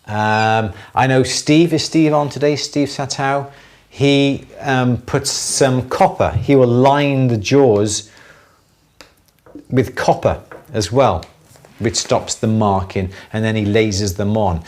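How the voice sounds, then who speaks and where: A man's voice: mid-range voice, takes it slowly, a little mellow. Chatty man with a light Welsh accent. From Wales. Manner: rambling, jokey, self-interrupting. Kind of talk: casual live Q&A chat.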